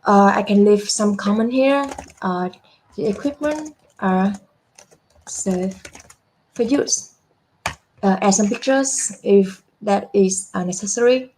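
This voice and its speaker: A young adult woman walking through a software demo step by step, unhurried, with frequent fillers and self-corrections. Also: medium pitch, slight background noise, poor audio. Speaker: software product presenter. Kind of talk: screen-share software demo walkthrough.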